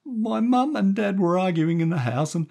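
A man's voice: high-pitched, lively intonation, moderate pace, gravelly, a little mellow, pitched above the performer's own voice, exaggerated voice. A man voicing a scared, earnest little boy with a hesitant manner in a children's story. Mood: anxious, earnest. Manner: hesitant, earnest, stammering when frightened.

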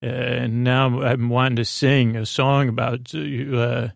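A man with a creaky voice hosting a bedtime podcast in a halting, meandering, lulling improvised monologue. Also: mid-range voice, level tone, no room sound. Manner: meandering, halting, trails off into tangents; soothing, lulling. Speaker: podcast host.

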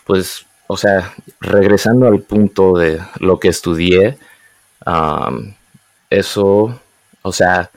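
Young guy from the us, really low voice, stops a lot to find words, mixes in english. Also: fairly even intonation, slow pace, no echo. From United States. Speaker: guest. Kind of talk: casual conversation.

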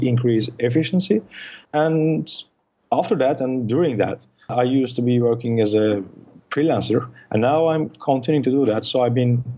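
A man's voice: mid-range voice, darkish tone. A man, a guest on a podcast, explaining his work in lists with many fillers and restarts. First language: Turkish. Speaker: guest, search marketing consultant. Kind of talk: podcast interview answers.

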